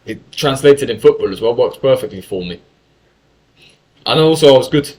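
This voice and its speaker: A man's voice: mid-range voice, lively intonation, normal speed, a little mellow. A young man from England telling a story in a casual monologue, talkative and full of swearing and self-corrections. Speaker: YouTuber.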